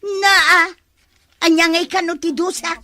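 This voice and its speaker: A woman's voice: very high-pitched, steady tone, slow, pushed and bright, exaggerated voice. A woman playing a brash, stubborn village songbird in an Ilocano comedy drama, with an exaggerated, wailing delivery and an Ilocano accent. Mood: indignant. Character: brash, stubborn neighbourhood woman who loves singing loudly.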